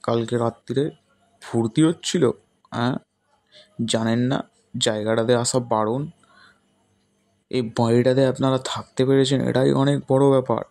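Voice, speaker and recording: Casting: male actor for accusatory cop in a horror audio drama, mid-pitched, flat delivery, dry sound. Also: measured pace, gravelly, pauses often, dry recording. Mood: stern, accusing. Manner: interrogating, accusatory. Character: stern, suspicious policeman scolding a survivor. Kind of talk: dramatised ghost story.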